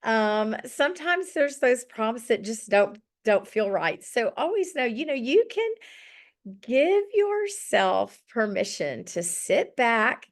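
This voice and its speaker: Woman, a bit scratchy, middle-aged, chatty and encouraging, video call, slightly high voice. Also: sing-song, slow pace. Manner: chatty and encouraging, with many ums. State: slightly scratchy voice after illness. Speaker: journaling session facilitator. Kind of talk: casual online group session.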